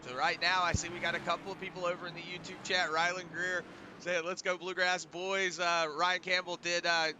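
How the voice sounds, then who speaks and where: A man's voice: sits high, level tone, brisk, noisy background. A man feeling sick and under the weather, casually commentating a sim race and reading out viewer comments. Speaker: sim racing broadcast co-host.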